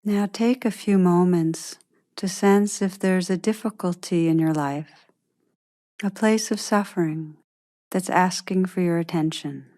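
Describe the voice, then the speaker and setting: Female meditation guide, lower-pitched, very slow and gentle, pausing often. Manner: gentle, unhurried, long pauses.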